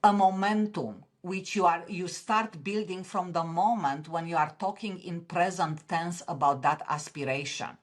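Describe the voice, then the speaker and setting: A middle-aged woman with a Romanian accent, talking as a podcast guest in a somewhat low voice. She speaks with earnest passion, often circling back and restarting her phrases mid-thought. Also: normal speed, hardly any pauses. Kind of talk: interview conversation.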